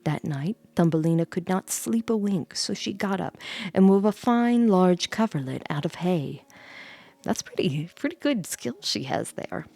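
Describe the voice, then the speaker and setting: Female storyteller, slightly low register with noticeable fry, reading a bedtime tale with casual asides. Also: expressive, unhurried, warm tone. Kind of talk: storytelling read aloud with chatty asides.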